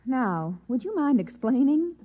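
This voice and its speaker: A weary, disbelieving American housewife in an old radio play. Her fairly high voice moves expressively, with dry, polite skepticism. The recording is dull and dated. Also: big pitch swings, a little slow, heavily acted. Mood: guarded, puzzled. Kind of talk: old-time radio drama acting.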